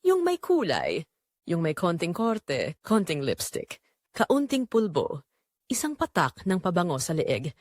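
A woman narrating an audio drama as a middle-aged mother-in-law confessing secret longing, in an intimate, measured way. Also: lowish voice, wildly swooping pitch, a little mellow, no echo, clean studio sound, heavily acted. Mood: confessional, yearning. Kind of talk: first-person dramatic storytelling.

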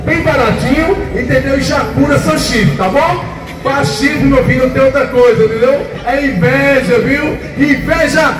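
A middle-aged man working as a street announcer for a store, shouting a hyped, joking sales pitch into a handheld mic outdoors. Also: normal speed, far from the mic. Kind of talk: loud street advertising patter. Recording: echoey, off-mic, loud music and crowd.

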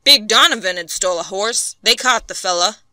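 A woman doing a slow, grim frontier man. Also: mid-range voice, pushed and bright, no room sound, studio quality. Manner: slow, plain backwoods talk. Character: steady, weary middle-aged frontier man.

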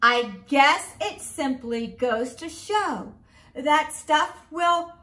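Middle aged lady doing a kids read-aloud, really slow and bright, very expressive. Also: high voice. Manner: expressive, slow and deliberate for children, with sing-song refrains. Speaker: school principal, guest story reader. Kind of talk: children's picture-book read-aloud. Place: small home room, indoors.

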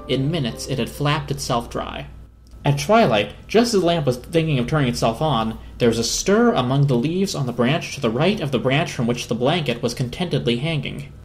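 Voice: mid-range voice, lively intonation, normal speed, soft background music. A narrator giving a dramatic reading of a storybook. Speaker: narrator, reader.

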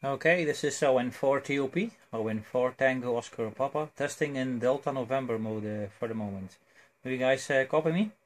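A man talking as an amateur radio operator in an unscripted exchange, with a hesitant, halting delivery. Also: medium pitch, slight background noise. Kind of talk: unscripted ham radio exchange with spelled call signs.

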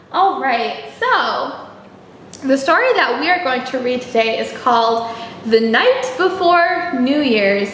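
Young female children's storytime reader, high-pitched and unhurried, animated and encouraging, addresses kids directly. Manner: animated, exaggerated, encouraging, asking the listener questions. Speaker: library storytime host. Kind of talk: children's storytime read-aloud, chatting and singing to young viewers. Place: small indoor room. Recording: echoey room with faint background noise.

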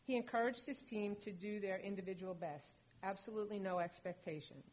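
An older woman at a lectern, reading a prepared tribute speech in a calm, measured way. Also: medium pitch. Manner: measured, composed delivery. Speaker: mother accepting a hall of fame honor for her late son. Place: stage with a lectern in a large indoor hall. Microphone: lectern microphone. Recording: dull lo-fi hall recording.

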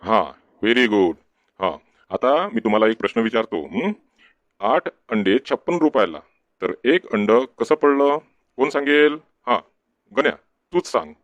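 A man's voice: mid-range voice, sing-song, measured pace, fairly bright tone, no room sound, muffled recording. A man voicing an exasperated, scolding village schoolteacher in a comic cartoon. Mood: instructive. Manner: scolding, questioning, name-calling.

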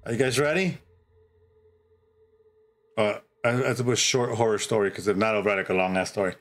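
A middle-aged man hosting a livestream, chatting casually and reading a horror story in a drawn-out, theatrical way with pauses. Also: lowish voice, fairly even intonation. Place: indoor streaming setup. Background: music.

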